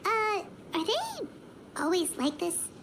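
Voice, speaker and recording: A woman's voice: very high voice, huge pitch swings, slow, a bit bright, slight background noise, heavily acted. A woman voicing a tiny, bold female fairy companion in a game, who speaks of herself in the third person. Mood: puzzled. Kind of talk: video game cutscene dub. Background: game score music.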